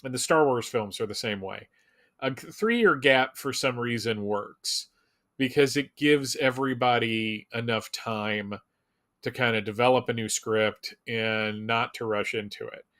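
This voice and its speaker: A man's voice: medium pitch, unhurried, fairly bright tone. A man chatting casually as a fan on a live show, informal and emphatic, rambling a little as he goes.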